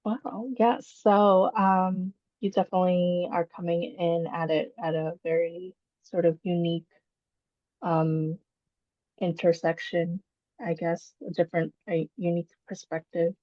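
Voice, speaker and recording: A woman hosting a podcast interview over a video call, asking gentle questions between soft listening sounds. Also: on the low side, level tone, unhurried, dry recording. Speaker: podcast host. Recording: dull lo-fi call audio.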